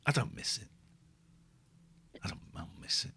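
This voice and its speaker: A man's voice: moderate pace, sharp tone. A man, hosting a casual live stream, rambling and self-mocking as he reads out viewer comments. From England. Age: 40s. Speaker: host.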